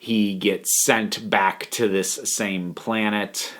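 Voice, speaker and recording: A man giving a casual, opinionated review, leaning with deliberate emphasis on key words. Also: fairly low pitch, level tone, a bit bright.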